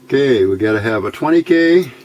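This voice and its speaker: A man's voice: mid-range voice, animated, brisk, a little mellow, a little hiss or hum. A man giving a casual hands-on electronics tutorial, muttering to himself in short fragments as he works indoors at a bench. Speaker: electronics hobbyist demonstrating a build. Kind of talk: casual hands-on tutorial, talking while working. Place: indoor workbench.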